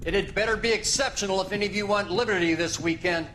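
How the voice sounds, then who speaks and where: High-pitched, domineering drill sergeant. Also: male voice, fast, pushed and bright, slight background noise. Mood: barking, menacing. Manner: stern, barking, clipped. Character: domineering military sergeant inspecting his men. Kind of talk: video game voice acting.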